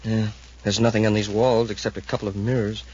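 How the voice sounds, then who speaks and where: Male actor as earnest boyish cadet, rapid delivery, deferential, 1950s radio drama, muffled noisy sound. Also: on the low side, lightly acted. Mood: anxious. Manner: earnest, excitable. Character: eager young male space cadet, excitable and deferential.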